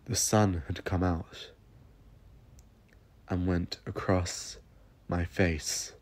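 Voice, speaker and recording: A man narrating a scripted first-person story solemnly, with dramatic pauses. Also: low voice, flat delivery, a little mellow.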